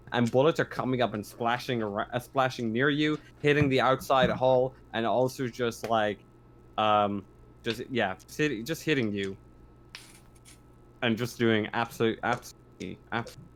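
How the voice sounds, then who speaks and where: A man running a tabletop roleplaying game, improvising narration and rules in a halting, repetitive way. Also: mid-range voice, steady tone, slight background noise. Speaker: game master.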